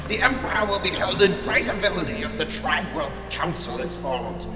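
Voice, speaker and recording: A man's voice: sits high, lively intonation, normal speed. A man playing a wary, worried alien lord in a radio drama, speaking in formal, urgent tones. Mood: worried, protesting. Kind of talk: space adventure radio drama acting. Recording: cavernous reverb with music.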